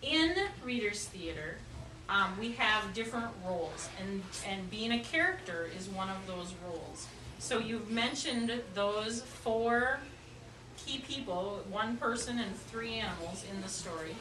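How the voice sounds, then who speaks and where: A woman's voice: mid-range voice, animated, measured pace. A woman teaching young children in a classroom, patiently asking questions and repeating their answers back. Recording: echoey classroom, distant mic, noisy.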